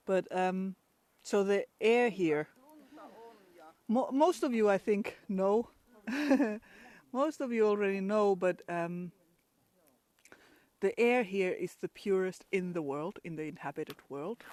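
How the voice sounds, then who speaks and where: A woman's voice: mid-range voice, expressive, takes it slowly, pauses often, darkish tone, dry recording. A woman from Finland with a Finnish accent, chatting casually outdoors in a pine forest for a travel vlog and laughing often between restarts. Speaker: travel vlogger.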